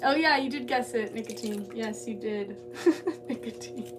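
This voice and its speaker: A woman's voice: a little high, measured pace, noisy background. A young woman from Southern California chatting casually and giggling as she reads viewer comments while she works clay. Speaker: livestreamer. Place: home pottery studio. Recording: distant mic with music playing behind.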